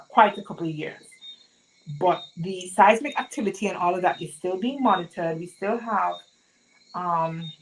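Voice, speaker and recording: A woman's voice: fairly low pitch, a little hiss or hum, poor audio. A young woman from Montserrat with a Montserratian accent, chatting with viewers and asking them questions during a sewing livestream. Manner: chatty, asks the chat many questions. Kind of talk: live-stream chat with viewers.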